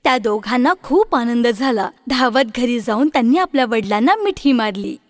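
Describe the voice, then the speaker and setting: A woman's voice: on the high side, expressive, moderate pace, no room sound, clean studio sound. A woman reading a scripted fairy tale for children as the storyteller.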